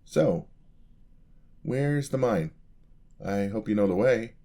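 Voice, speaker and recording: A man's voice: fairly low pitch, lively intonation, slow, mellow. A man playing an eager, charming young apprentice paladin in a fantasy ASMR roleplay, talking to the listener in a polite, easily flustered way. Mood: eager, excited. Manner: polite, flustered, hesitant, chatty.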